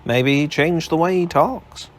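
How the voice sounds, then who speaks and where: A man's voice: on the high side, a little slow, pitched above the performer's own voice, natural voice. A man reading aloud, voicing a brash young male newspaper reporter with a breezy, confident manner. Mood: cocky.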